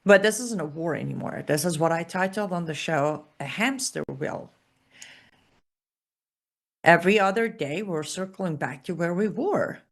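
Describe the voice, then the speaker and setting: Woman ranting on her politics show, lowish voice, swings up and down a lot, sarcastic and punchy. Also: lively intonation, normal speed. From Iran. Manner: emphatic, sarcastic, punchy repeated phrases. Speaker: political commentator, show host. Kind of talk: opinion monologue.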